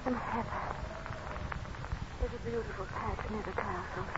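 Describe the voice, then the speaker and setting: An actress playing a frail, dying young lady, soft and wistful, in 1930s radio style. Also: mid-range voice, fairly even intonation, moderate pace, natural voice. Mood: dreamy, weak. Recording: muffled, noisy 1939 radio recording.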